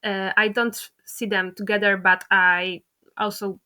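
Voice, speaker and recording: A woman hosting a casual interview, speaking in a halting, appreciative way. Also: mid-range voice, level tone, pushed and bright. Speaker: interviewer, host.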